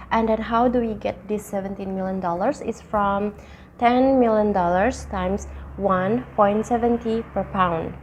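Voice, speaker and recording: A young student from Indonesia talks through finance homework answers at a steady pace, her voice nasal because she is sick. She restarts a word now and then. A faint hiss sits under the recording. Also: medium pitch. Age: young adult. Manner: steady step-by-step explaining with small restarts. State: sick with a stuffy nose.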